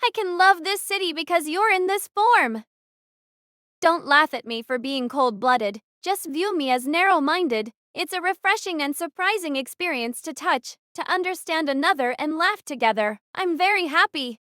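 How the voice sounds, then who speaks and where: A woman's voice: sits high, lively intonation, moderate pace, fairly bright tone, no echo, clean studio sound, pitched above the performer's own voice. A woman playing an earnest, tender young female military student, protective and resolute, in an audio drama. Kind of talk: dramatized audiobook character dialogue.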